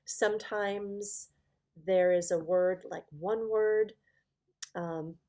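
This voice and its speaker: Female Bible teacher, lowish voice, very unhurried, halting delivery with stressed key words, informal lesson style. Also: darkish tone. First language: English. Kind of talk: informal spoken lesson to viewers.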